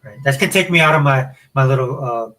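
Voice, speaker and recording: A man's voice: medium pitch, fairly quick, background noise. A man hosting a casual podcast chat, rambling with many fillers and restarts. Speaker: podcast host.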